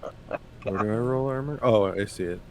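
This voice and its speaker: A man's voice: on the low side, normal speed, noisy background. A man reacting casually as a player at a tabletop roleplaying game.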